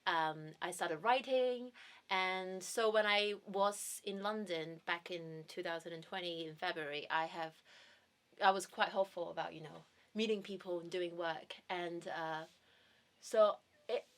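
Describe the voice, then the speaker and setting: A woman's voice: fairly low pitch, unhurried, fairly bright tone. A young woman from Hong Kong, a guest in an interview, talking casually about her acting work with many fillers and restarts. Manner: chatty, many fillers and restarts, laughs easily. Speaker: guest, actor and drama teacher.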